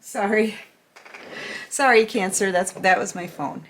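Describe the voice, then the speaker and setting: A woman's voice: medium pitch, measured pace, a bit bright, slight background noise. Chatty tarot-reading woman, a bit sick. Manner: chatty, affectionate, many fillers and restarts. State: sick, throat-clearing. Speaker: tarot reader.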